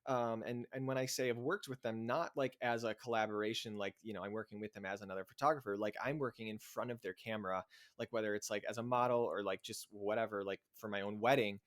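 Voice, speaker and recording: A young man, a podcast guest, talking in an excited, chatty way, laughing often and filling his speech with "like". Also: on the low side, quick pace. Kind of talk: casual podcast interview.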